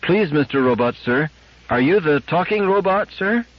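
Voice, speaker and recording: A childlike, earnest little girl's voice done by a man, lifted high and full of careful politeness. It is storybook reading in a dry, slightly dull recording. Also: expressive, normal speed, no echo, lightly acted. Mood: timid, polite. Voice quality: treble, childlike. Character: polite, determined little girl, earnest and pleading.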